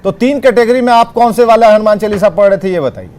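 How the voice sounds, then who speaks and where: Male debate anchor, high voice, insistent, challenging, repeats questions. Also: quick pace, a little mellow. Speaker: debate show host. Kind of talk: combative TV debate moderation.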